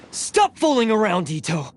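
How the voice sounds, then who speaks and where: A man's voice: high-pitched, a little hiss or hum, heavily acted. A man voicing a proud, resolute, self-sacrificing blue oni in a video game, grave, intense and defiant. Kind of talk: dramatic video game voice acting.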